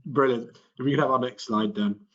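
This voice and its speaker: A middle-aged man, a chief executive, presenting to a business webinar in a measured corporate manner. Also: medium pitch, fairly bright tone. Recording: muffled webinar audio.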